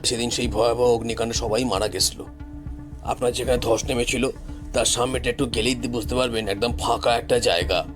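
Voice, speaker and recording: A man's voice: medium pitch, steady tone, a little fast, over music, voice pushed down, natural voice. A man voicing a respectful office guard in an audio story, grave and insistent in manner.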